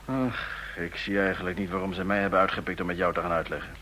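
An actor playing a roguish, envious intelligence officer in a radio play, speaking with sardonic humour in clipped phrases. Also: male voice, deep voice, little pitch movement, fast talker, pushed and bright, a little hiss or hum. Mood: grudging. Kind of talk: radio drama dialogue.

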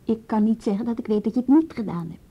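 A woman's voice: fairly high pitch, slightly rough. A woman acting in a radio drama as a frank, level-headed policewoman, speaking carefully and matter-of-factly. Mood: firm, level. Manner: careful, deliberate, matter-of-fact. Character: policewoman, level-headed, frank, guarded adviser to a young suspect. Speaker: police colleague. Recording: dull radio play recording.

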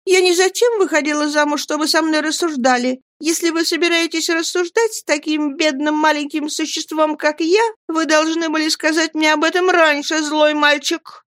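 High, girlish, pouting young wife. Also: fairly even intonation, moderate pace, hardly any pauses, fairly bright tone, no echo, clean studio sound. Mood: indignant, pouting. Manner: whining, pleading, dramatically aggrieved. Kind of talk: dramatised audiobook reading.

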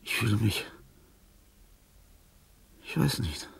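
An actor playing a dying, lonely old artist in a radio drama, confused and weary, halting and often sinking into whispers. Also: male voice, on the low side, lively intonation, vocal fry, breathy edge, distant mic, exaggerated voice. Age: older. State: weak, weary. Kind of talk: radio drama acting, interior monologue.

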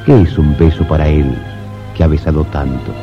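An actor narrating a romantic 1950s radio drama in a grave, poetic, theatrical manner. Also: male voice, rumbling low, fairly even intonation. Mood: brooding, lyrical. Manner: theatrical, measured, declamatory. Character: solemn male narrator of a romantic radio play. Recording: muffled 1950s radio recording, loud music. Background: music.

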